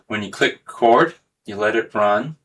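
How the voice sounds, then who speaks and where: A man with a slightly low voice talks casually as he hosts a hands-on demonstration. The recording carries some faint noise and small clicks behind his words. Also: moderate pace, fairly bright tone. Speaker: host of a tech demonstration video. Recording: clearly noisy recording with clicks.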